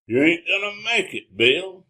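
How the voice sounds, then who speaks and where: An actor playing a gruff, worried cowboy sidekick in a radio western, with a husky voice and an American Western accent. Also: male voice, sits high, big pitch swings, unhurried, bright, forceful tone, heavily acted. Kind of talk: old-time radio western acting.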